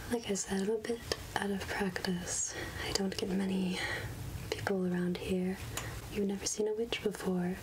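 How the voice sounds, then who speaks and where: Casting: gentle, scatterbrained witch healer played by a woman, breathy half-whisper, very unhurried, hesitant, ASMR roleplay with audible background noise. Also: mid-range voice, natural voice. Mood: musing, friendly. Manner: slow, gentle, hesitant murmuring with repeated phrases. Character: gentle, absent-minded witch healer and potion seller. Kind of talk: soft-spoken ASMR roleplay.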